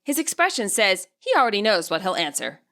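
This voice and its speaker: A woman reading scripted present-tense fiction as an audiobook narrator, with an expressive storyteller delivery. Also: medium pitch, a little fast.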